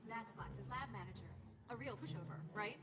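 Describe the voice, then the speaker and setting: A woman's voice: on the high side, normal speed, very noisy, natural voice. An actress playing a confident, wry female schemer in a fantasy video game, teasing and dryly sardonic. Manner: dry, sardonic.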